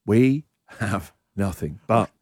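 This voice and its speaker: A man hosting a breakfast radio show, talking in an excitable, emphatic, rambling way with lively banter. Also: on the low side, slow pace, husky, dry recording, studio quality. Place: radio studio.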